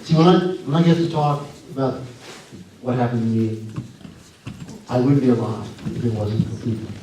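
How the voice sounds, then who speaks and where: A man with a scratchy voice giving a folksy, earnest talk at a church and answering questions, halting often with fillers and restarts. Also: medium pitch. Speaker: guest speaker. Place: church sanctuary. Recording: echoey hall from far off.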